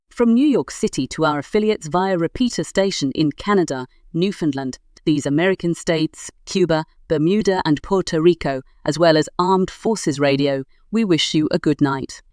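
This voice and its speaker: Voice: mid-range voice, moderate pace, few pauses, darkish tone, no room sound, studio quality, close to an everyday voice. An actor playing a 1940s late-night radio station announcer, reading scripted promos and station notices. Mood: formal, showy.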